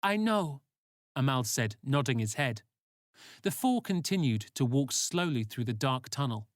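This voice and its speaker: A storyteller reading scripted fiction in audiobook style. The middle-pitched voice moves with lively intonation, and the recording is dry with little room sound. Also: moderate pace, no room sound. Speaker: author narrating own story.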